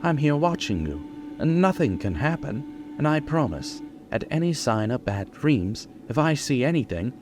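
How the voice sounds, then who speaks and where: A man's voice: mid-range voice, big pitch swings, moderate pace, lightly acted. A man playing an earnest young doctor, narrating his journal in a formal, dramatic old-time radio style. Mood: anxious, tender. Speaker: narrator and character. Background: prominent music.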